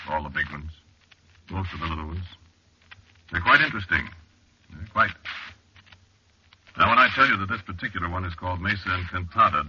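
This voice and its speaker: A very low, hard-edged man's voice plays a wry, confiding researcher who refuses to be scared. He speaks conversationally and with dry understatement, in the manner of 1940s radio drama. The old recording is muffled. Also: sharp tone, breathy edge, heavily acted. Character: male cliff-dwelling researcher, wry, confiding, stubbornly unafraid. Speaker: narrator and lead actor. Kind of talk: 1940s radio drama first-person narration.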